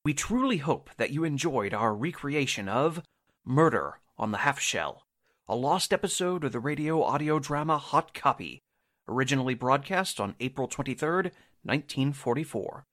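A man reading a scripted announcement for a radio drama in a formal, measured announcer's delivery. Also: medium pitch, big pitch swings, fairly bright tone.

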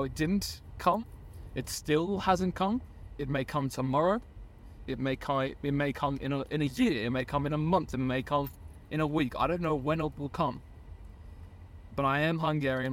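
A young man of about twenty, a podcast guest earnestly telling his own story in a casual way and often correcting himself mid-sentence. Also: medium pitch. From Australia. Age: around 20.

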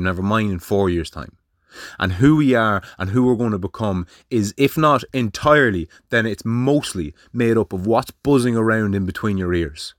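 Guy in his 30s ranting solo, swears a lot, lowish voice, dead dry sound. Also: a bit bright, no echo. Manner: blunt, swears freely, rhetorical questions. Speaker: podcast host. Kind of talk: solo monologue.

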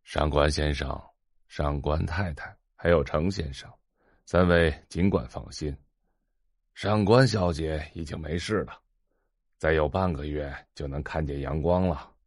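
Man acting a very deep-voiced old healer. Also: dry recording, studio quality, heavily acted. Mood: calm, reassuring. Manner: measured, courteous. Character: venerable old male healer with snowy beard, reassuring.

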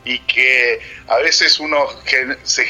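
A male radio host muses aloud, pausing and restarting with fillers. His voice is strikingly bright and sharp at a middle pitch, with faint music in the background. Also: fairly even intonation, low-quality recording. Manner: thoughtful, halting, with many fillers. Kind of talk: reflective radio conversation.

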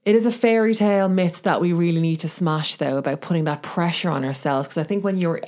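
A woman's voice: fairly low pitch, fairly quick, darkish tone. A woman co-hosting a podcast in casual conversation, informal and questioning in her way of talking. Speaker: podcast co-host.

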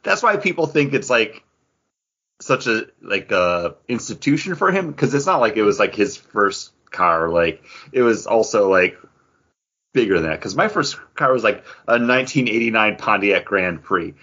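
A man co-hosting a podcast with a husky, rough-edged voice, trading casual banter in a wry, teasing way. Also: on the low side, lively intonation, moderate pace, sharp tone, highs cut off. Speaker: podcast co-host.

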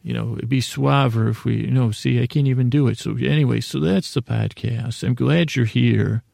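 A man's voice: mid-range voice, moderate pace, creaky, darkish tone, no room sound, clean studio sound. A middle-aged American man hosting a bedtime podcast, rambling in a meandering, self-deprecating way, often stammering and interrupting himself. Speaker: podcast host.

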